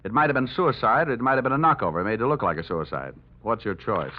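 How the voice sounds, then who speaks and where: An actor playing a wry, hard-boiled insurance investigator with an American accent and a sardonic manner, in an old radio detective drama. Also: male voice, medium pitch, lively intonation, quick pace, close to an everyday voice. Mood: pressing. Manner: wisecracking, sardonic. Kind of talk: 1940s-50s radio detective drama, narration and dialogue. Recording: dull vintage radio recording with music.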